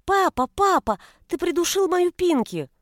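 A woman's voice: very high-pitched, very animated, unhurried, no room sound, studio quality, pitched above the performer's own voice, full character voice. A woman voicing a sensitive little girl in an audiobook, plaintive, childlike and pleading. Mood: wailing. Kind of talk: audiobook character voice.

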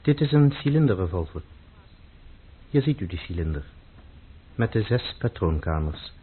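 Troubled, solemn man for radio drama; lowish, deliberate delivery, narrow phone-like sound. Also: big pitch swings, a little hiss or hum. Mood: calmly instructing. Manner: deliberate, formal, demonstrative. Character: grave, troubled man obsessed with purity, calmly instructing. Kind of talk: radio play acting. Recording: muffled, phone-like old radio recording.

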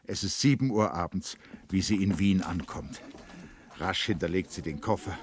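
Deep-voiced male literary narrator. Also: moderate pace, a little hiss or hum. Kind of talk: literary radio play narration, scripted.

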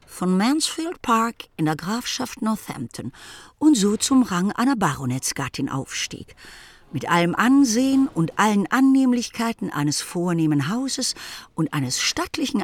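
A woman narrating a literary radio play as a composed, wry storyteller, deliberate and ironic in delivery. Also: medium pitch. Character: composed, wry storyteller presiding over the family.

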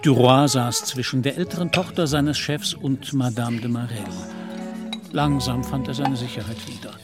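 A man's voice: medium pitch, normal speed. Male literary narrator over music.

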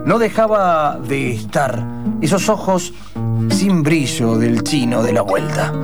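A man's voice: mid-range voice, very animated, takes it slowly. A man narrating a story as part of improvised radio comedy. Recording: loud guitar music under the voice. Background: loud guitar music.

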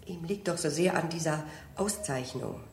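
A woman playing a desperate, melodramatic married mistress in a German radio crime drama, dropping into breathy whispers. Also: low-pitched, normal speed. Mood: anxious. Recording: roomy old radio drama, faint hiss.

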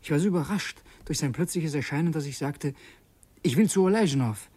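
An actor playing an earnest, brooding revolutionary who narrates in an intense, halting way in a radio play. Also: male voice, a little high, warm tone, breathy edge. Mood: uneasy. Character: earnest, brooding male revolutionary narrating his secret errand. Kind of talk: radio play, first-person dramatic narration.

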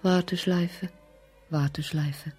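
A detached radio-drama narrator, hushed and measured. Her mellow, fairly low voice moves slowly and evenly, with faint music behind. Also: steady tone. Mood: detached, sombre. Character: detached female narrator voicing and echoing inner thoughts.

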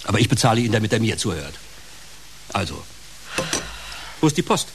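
A man's voice: lowish voice, sing-song, brisk, gravelly, a bit bright, a little hiss or hum, exaggerated voice. A male actor playing a grumpy, self-centred uncle in a German radio play, grumbling with impatient sarcasm. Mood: sour grumbling.

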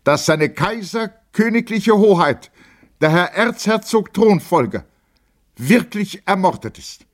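A man voicing a young, drunken lieutenant in an audiobook, outraged and fiercely loyal, with emphatic, declamatory shouting. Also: sits high, animated, a little slow, voice pushed up. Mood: tense, solemn.

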